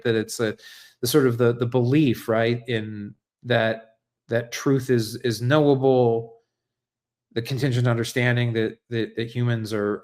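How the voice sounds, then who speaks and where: An American man with a middle-range voice talks off the cuff to a livestream audience. He is earnest and reflective, feeling his way through each thought. His phrasing is halting, with repeated words and frequent tag questions. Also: medium pitch. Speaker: livestream host and essayist. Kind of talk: unscripted livestream monologue on politics and philosophy.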